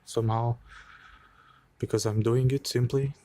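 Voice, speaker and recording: A man in an unedited late-night vlog, speaking quietly and hesitantly with many pauses and fillers, cold and shivering a little into a handheld phone outdoors. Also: medium pitch, fairly even intonation. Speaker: vlogger. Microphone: phone held by hand.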